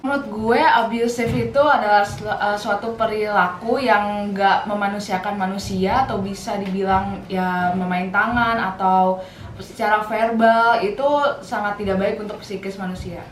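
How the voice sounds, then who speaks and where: 19ish girl, normal pitch, casual panel chat, bit of noise. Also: steady tone, moderate pace. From Indonesia. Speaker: university student. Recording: echoey room, faint noise.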